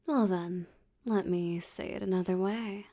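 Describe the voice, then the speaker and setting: Slow, seductive female mafia boss, acted by a woman. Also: mid-range voice, fairly even intonation, dark tone. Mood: seductive, commanding. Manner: teasing, seductive, condescending, drawn-out delivery. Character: flirtatious, domineering female mafia boss toying with a detective. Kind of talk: ASMR roleplay acting.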